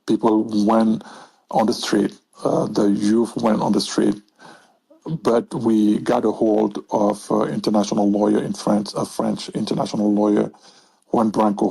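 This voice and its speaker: A man from West Africa calling in to a live audio chat, speaking earnestly and haltingly with many fillers. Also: fairly low pitch, gravelly, warm tone, slightly rough, poor audio. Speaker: caller. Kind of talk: call-in opinion. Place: calling in from a remote line.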